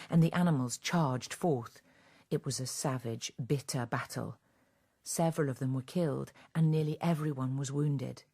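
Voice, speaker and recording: A woman narrating a radio drama in a measured, scripted storytelling manner. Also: low-pitched, warm tone, slightly rough.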